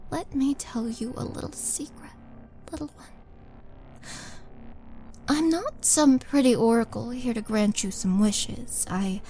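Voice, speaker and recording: A woman's voice: fairly high pitch, warm tone, slight background noise, low-quality recording. A woman voicing a towering, bloodthirsty half-orc murderess in an intimate audio roleplay, possessive, teasing and dramatic, sometimes dropping toward a whisper. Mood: menacing, imperious. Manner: slow, dramatic, menacing and taunting, breathy dips into whisper. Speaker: roleplay voice performer. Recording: background music under voice.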